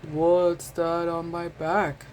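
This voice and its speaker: A woman's voice: lowish voice, level tone, very slow, noisy background, voice pushed up. A woman voicing a grumpy male hedgehog in a storybook, muttering and grumbling.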